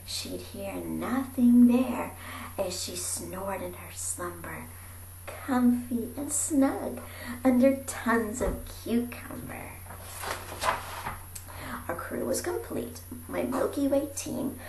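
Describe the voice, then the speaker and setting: Very animated woman reading slowly, echoey room. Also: medium pitch. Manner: theatrical, dropping into breathy whispers and calling out. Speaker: amateur storybook reader. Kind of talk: animated rhyming picture-book read-aloud. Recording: roomy echo with faint background noise.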